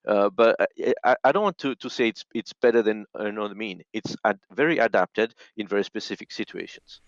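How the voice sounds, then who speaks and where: A man explaining conversationally as a guest, repeating phrases haltingly, on dull lo-fi audio. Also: lowish voice, no room sound. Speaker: podcast guest.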